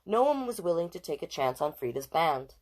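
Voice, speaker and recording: A woman with a fairly low voice reads a children's story aloud. She is expressive and chatty, often stepping out of the text to talk straight to her viewers. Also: brisk. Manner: expressive, chatty, addresses live viewers between passages. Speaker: storytime host and drag king reader. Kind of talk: reading a children's picture book aloud with chatty asides.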